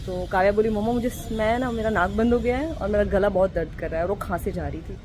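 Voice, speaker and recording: A woman's voice: mid-range voice, quick pace, over music. A young woman talking to the camera in a casual vlog, telling a story earnestly with encouraging asides. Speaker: vlogger.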